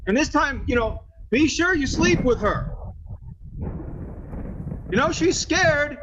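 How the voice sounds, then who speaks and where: Man playing high-voiced, fussing, devoted husband. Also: animated, slow pace, exaggerated voice. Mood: fussing, tender. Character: unsuspecting husband, devoted and fussing over his mama. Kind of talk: old-time radio horror acting. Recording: noisy, dull lo-fi live audio.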